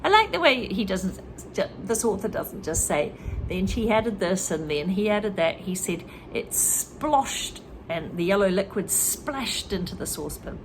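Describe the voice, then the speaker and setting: A woman's voice: medium pitch, noisy background. A woman reading a children's story aloud and stopping to explain English words and expressions to learners. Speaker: reader and English teacher.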